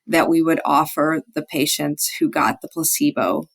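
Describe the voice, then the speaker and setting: Creaky-voiced woman discussing clinical research. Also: sits low, steady tone, moderate pace. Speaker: physician researcher interviewed as study author. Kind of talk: explanatory research interview.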